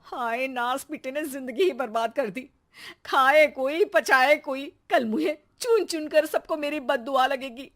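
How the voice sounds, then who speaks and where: A woman voicing an exasperated, sarcastic Indian mother who nags loudly and dramatically in a children's cartoon story. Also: high voice, unhurried. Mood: furious, lamenting. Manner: sarcastic, loud nagging with dramatic exclamations. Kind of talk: animated children's comedy story.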